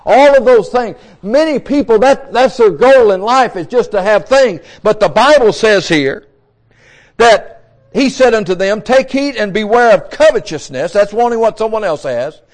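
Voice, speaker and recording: A man in his sixties preaches with a high, light voice. He talks in a folksy way, often repeating himself and restarting words as he builds his points. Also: animated, moderate pace, poor audio. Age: 60s. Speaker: pastor. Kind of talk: sermon.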